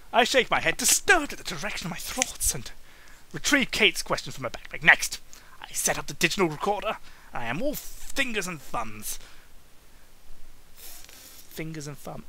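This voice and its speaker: A man reads prose as a comedic dramatic performance in a fairly high, very bright and forceful voice. His delivery is exaggerated and goofy, and he trips over phrases and slips into silly asides. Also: big pitch swings, a little slow, slight background noise, rough recording. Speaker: amateur reader making a comedic dramatic reading. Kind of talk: hammy comedic audiobook-style narration.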